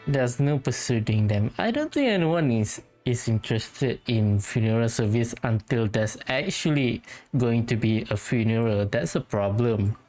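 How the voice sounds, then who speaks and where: Very low-voiced woman gamer. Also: lively intonation, normal speed, dark tone. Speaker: gamer commentator. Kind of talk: casual playthrough commentary. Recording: faint game music behind. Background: game music.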